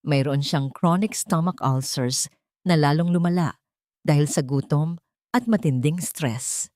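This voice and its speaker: A woman voicing a calm hospital doctor who explains things in a measured, matter-of-fact way. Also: mid-range voice, dry recording, clean studio sound, close to an everyday voice. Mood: serious.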